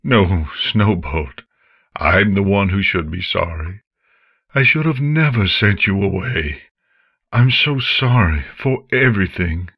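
A man's voice: sits low, expressive, takes it slowly, slightly rough, no room sound, highs cut off, exaggerated voice. A man voicing a grieving working stallion father who speaks in ragged, emotional outbursts. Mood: remorseful.

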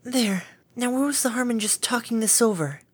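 A woman voicing a timid, gentle female pegasus pony who speaks softly and soothingly. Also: on the high side, animated, moderate pace, husky, voice pushed up. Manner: soft, soothing, gently pleading.

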